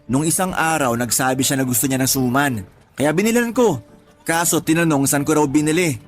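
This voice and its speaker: A man's voice: a little high, a little fast, faint music behind, close to an everyday voice. An actor playing an exasperated husband of a pregnant woman in a radio drama, complaining in an emphatic, colloquial way. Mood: aggrieved venting. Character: exasperated young husband of a pregnant, craving partner. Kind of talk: Tagalog radio drama acting.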